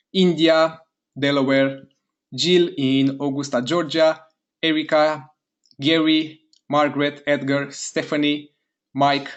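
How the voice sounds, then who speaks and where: A man from Romania hosting a live online sales webinar, upbeat and eager, talking straight to his chat audience and repeating words in quick bursts of praise. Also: fairly high pitch, muffled recording. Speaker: webinar host.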